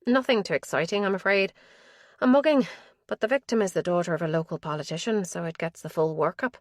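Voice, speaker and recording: A woman voicing a brisk, wry young female detective in an audiobook, lifting her voice and sounding lively. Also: lowish voice, dry recording, clean studio sound, lightly acted.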